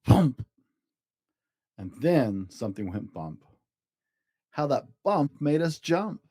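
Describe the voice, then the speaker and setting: Animated man reading a children's storybook. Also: a little high, slow pace, husky, no echo. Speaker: host and storybook reader. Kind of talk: expressive children's storybook narration.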